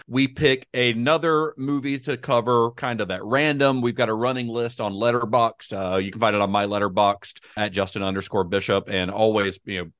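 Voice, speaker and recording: A man's voice: medium pitch, a bit bright, no echo, studio quality. Rambling man co-hosting a film podcast. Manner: rambling, frequent restarts and laughs. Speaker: podcast co-host. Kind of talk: casual film discussion.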